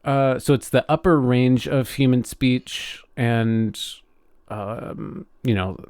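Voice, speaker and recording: A man's voice: medium pitch, a little mellow, no echo, clean studio sound. A man co-hosting a podcast in casual conversation, often stopping and restarting his sentences as he thinks aloud. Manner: halting, frequent self-interruptions. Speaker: podcast co-host.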